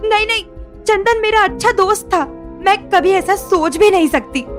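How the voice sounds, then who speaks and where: Young wife played by a woman, high voice, defensive and evasive, bitterness underneath, audio drama with music behind. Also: normal speed. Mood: frightened, pleading. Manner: guarded, defensive.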